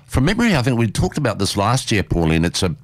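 Male radio host, lowish voice, animated delivery, dry studio sound. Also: quick pace, no echo. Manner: chatty, repeats words, animated. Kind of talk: casual radio interview. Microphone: studio mic.

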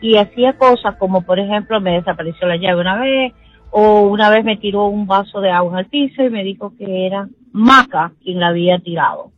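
A woman's voice: mid-range voice, fairly quick, faint music behind. Older Peruvian woman calling in by phone. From Peru. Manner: earnest, storytelling. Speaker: caller. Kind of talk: telling a personal story on a call-in. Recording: dull phone line.

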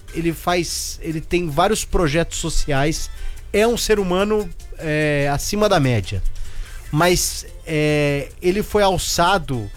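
A man with a Brazilian Portuguese accent hosting a casual football debate, emphatic and repeating himself for stress. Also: fairly high pitch. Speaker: sports talk show host.

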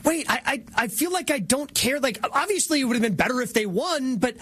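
A man's voice: very high-pitched, expressive, a little fast, a bit bright, breathy edge. A man hosting a sports talk radio show, talking casually and chattily.

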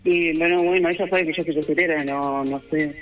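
A woman's voice: low voice, fairly even intonation, moderate pace, faint music behind. A woman from Argentina with an Argentine accent, calling into a radio show and talking in a hesitant way, with many fillers and frequent laughs. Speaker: caller. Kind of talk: phone call into a radio show.

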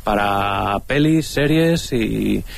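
A man from Spain, a guest from the studio audience, talking in a slow, deliberate way on a radio quiz. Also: fairly low pitch, expressive, vocal fry, slight background noise. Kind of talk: casual chat on a quiz show.

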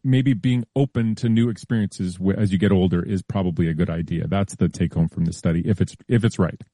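A man explaining science news in a relaxed, careful way during a casual chat, with frequent hedges and restarts. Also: fairly low pitch, no room sound, studio quality. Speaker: science columnist.

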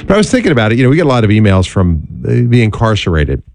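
A male talk show host with a low, mellow voice speaks earnestly in a close, dry studio. He talks casually with some fillers, then rises to a preacher-like emphasis on his key points. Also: wildly swooping pitch, racing, gravelly, dry recording. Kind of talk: talk show monologue and interview.

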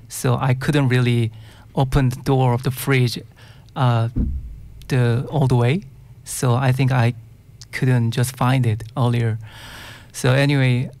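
A male singer-songwriter with a Korean accent, a native Korean speaker, talks in an interview at a very slow pace. His pitch is average for a man. He hesitates and searches for words as he answers casually. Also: darkish tone. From South Korea. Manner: hesitant, searching for words in non-fluent English.

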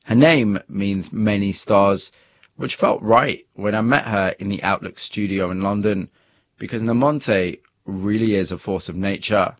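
Male radio host, lowish voice, calm scripted narration, muffled dry studio audio. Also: dry recording. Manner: measured, storytelling. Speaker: radio interviewer and presenter. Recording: dull muffled broadcast audio.

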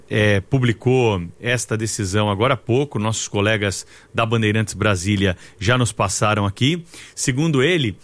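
A Brazilian man anchoring radio news, reading a breaking report in a measured, deliberate way. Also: mid-range voice, fairly bright tone, no echo. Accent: Brazilian Portuguese.

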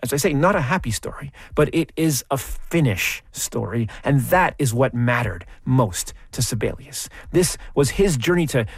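A man introducing a classical concert on the radio, telling the story behind the music in a measured, explanatory way. His voice sits at an ordinary middle pitch. The studio sound is dry and close, with no room around it. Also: expressive, breathy edge, dry recording. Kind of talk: scripted radio presentation.

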